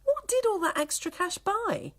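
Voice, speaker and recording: A woman's voice: very high voice, expressive, moderate pace. A woman playing a dry, gossipy colleague in a college drama department, wry and arch, in a radio comedy. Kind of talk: radio comedy play acting.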